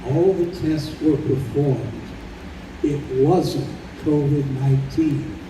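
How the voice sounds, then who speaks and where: A man performing as the measured narrator of an old time radio drama, telling a sentimental story. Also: on the high side, steady tone. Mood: solemn storytelling. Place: convention hall stage. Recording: roomy live stage recording, distant, faint noise.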